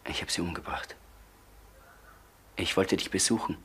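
Tormented killer confessing, radio drama: fairly low, halting, hushed to desperate, slight hiss. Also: male voice. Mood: halting, subdued. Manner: halting, breathy outbursts, often dropping to near whisper. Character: tormented man confessing a killing to his brother. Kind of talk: German crime radio play acting. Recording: slightly noisy old radio play recording.

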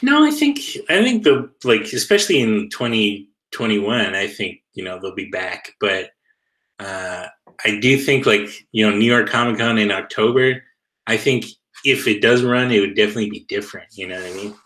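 Low-voiced guy, loose slangy talker. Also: expressive, normal speed, a bit bright. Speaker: interview guest, comic creator. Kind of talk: casual chat. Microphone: video call.

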